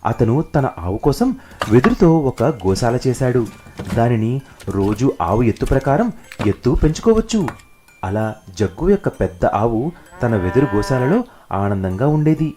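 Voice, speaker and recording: A man's voice: medium pitch, very animated, unhurried, warm tone, slight background noise. A man narrating a scripted children's story. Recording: music behind the narration.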